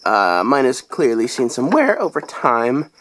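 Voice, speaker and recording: A young man who has been sick, his voice deeper than usual, rambling through a casual toy review with lots of "uh"s. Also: wildly swooping pitch, unhurried, a bit bright. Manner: rambling, chatty, lots of fillers.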